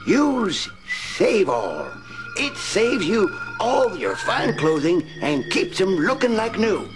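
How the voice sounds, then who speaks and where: A man's voice: huge pitch swings, slow pace, over music, heavily acted. An actor playing a chirpy, folksy laundryman in an old-time radio soap advertisement, with an eager, overfriendly sales delivery. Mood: salesman-like. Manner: eager sales pitch, exaggerated friendliness.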